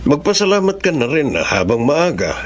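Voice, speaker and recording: A man playing a calm doctor. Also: a little high, a little hiss or hum. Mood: advising. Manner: measured, advising. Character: calm male doctor giving advice.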